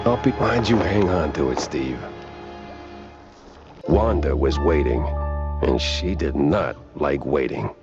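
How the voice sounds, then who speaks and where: A man playing a world-weary hardboiled mouse private detective in a noir cartoon game, deadpan and wry. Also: rumbling low, gravelly, lo-fi sound, full character voice.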